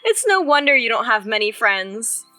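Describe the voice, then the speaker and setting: A woman's voice: fairly high pitch, big pitch swings, moderate pace, pushed and bright, soft background music. A woman playing a dry, teasing wife trading banter in an audio drama.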